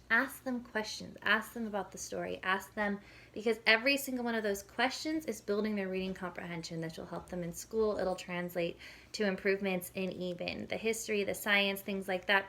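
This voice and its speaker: A woman's voice: mid-range voice, normal speed, gravelly, a bit bright. A woman speaking as a parenting educator, giving an informal, conversational lesson and explaining as she goes. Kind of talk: informal instructional talk with demonstrated questions.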